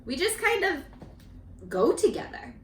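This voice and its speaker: A woman reading a picture book aloud to young children in an expressive, instructive way, speaking to them directly as a teacher. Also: high voice, slow pace. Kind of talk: read-aloud for young children with questions to the listeners. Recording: echoey room, distant microphone.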